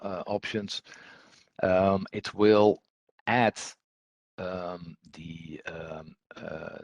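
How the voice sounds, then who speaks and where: Male software instructor, deep and husky, hesitant unscripted walkthrough delivery, dry recording. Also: no echo. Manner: halting, hesitant delivery with repeated words. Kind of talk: unscripted screen-share software tutorial.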